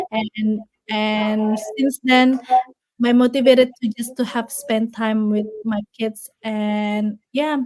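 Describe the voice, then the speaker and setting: A woman's voice: on the high side, a little mellow. A woman in her thirties from Indonesia, a guest telling her story in a casual interview, with many fillers and restarts and frequent laughter.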